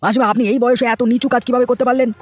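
A man's voice: extremely high pitch, level tone, racing, loud music behind the voice. A man voicing a hot-tempered village garden owner in a fairy tale cartoon, speaking emphatically. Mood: angry, accusing.

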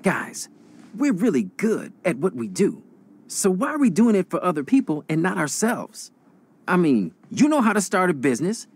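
A cocky, reckless young would-be crime boss in a video game cutscene. His pitch moves around a lot as he talks. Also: sing-song, normal speed, darkish tone, husky, heavily acted. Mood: confident, rallying.

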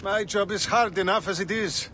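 A harried male officer of the law in a video game cutscene. His voice is high for a man and bright, with a tense edge. He talks wryly and a little hesitantly over faint ambient noise. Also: steady tone, moderate pace. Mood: weary, wry. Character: weary, wry male lawman out of his depth. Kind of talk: dramatic game cutscene dialogue.

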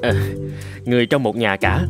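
A courteous young samurai voiced by a man talks gently and considerately. He is a cartoon eldest son with a mid-pitched voice over loud music. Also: moderate pace, close to an everyday voice. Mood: caring. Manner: polite, considerate. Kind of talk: dubbed cartoon storytelling.

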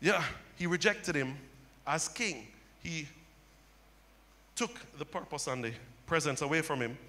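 A middle-aged male pastor preaching a sermon on a church stage into a handheld mic, building to loud impassioned cries. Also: a little high, expressive, a little slow. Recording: long hall reverb.

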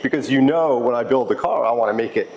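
A man speaking as a restoration technician in a casual interview, explaining in a halting, conversational way and repeating phrases. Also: medium pitch, lo-fi sound, a crowd in the background. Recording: clear steady background noise.

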